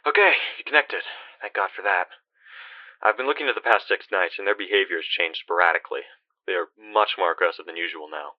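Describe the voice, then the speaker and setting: A low male voice comes through dull, lo-fi audio. A man acts out a guilt-ridden, trapped soul in a horror game, confiding in halting, weary phrases. He sounds like a desperate older brother who swears as he talks. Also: no echo, close to an everyday voice. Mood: tense, urgent. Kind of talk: horror game phone-call voice acting.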